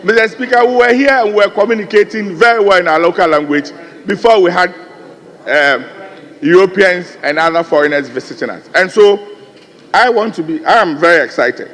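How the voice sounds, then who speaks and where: A man's voice: a little high, a little fast, fairly bright tone, big-room sound. A man with a Ghanaian accent giving an earnest floor speech in parliament, often turning to address the chair. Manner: earnest, rising emphasis, repeated addresses to the chair. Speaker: member of parliament. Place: large parliamentary chamber.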